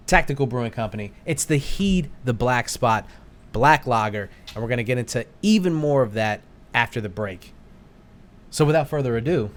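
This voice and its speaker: Rambling man hosting a beer podcast. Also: mid-range voice. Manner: rambling, with restarts and laughs. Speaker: podcast co-host. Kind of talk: casual chat over beers.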